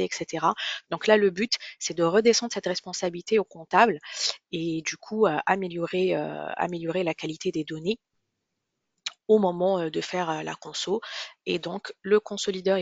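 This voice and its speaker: A presenter giving a software demonstration in a webinar, explaining step by step in a halting way, often repeating and rephrasing. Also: medium pitch, gravelly, dry recording, muffled recording. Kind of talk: product demonstration webinar, semi-scripted explanation.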